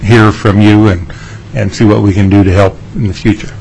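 A man's voice: lowish voice, steady tone, gravelly, darkish tone, a little hiss or hum, rough recording. Folksy man chairing a committee hearing. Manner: folksy, halting, with fillers. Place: legislative committee room.

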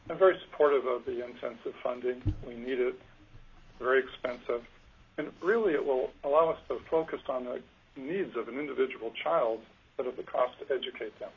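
A soft-spoken man, a school superintendent, giving earnest testimony at a legislative hearing with frequent ums. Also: medium pitch, a little fast, breathy edge. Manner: earnest, hesitant with fillers. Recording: lo-fi teleconference line.

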